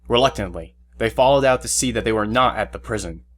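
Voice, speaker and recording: Low-voiced man narrating an audio drama. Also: fast talker, a little hiss or hum.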